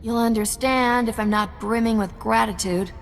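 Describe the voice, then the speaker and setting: An actress playing a tough, sardonic smuggler captain in a video game. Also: female voice, a little high, fairly even intonation, moderate pace, vocal fry. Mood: sarcastic. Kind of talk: video game cutscene acting. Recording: reverberant hall, faint music.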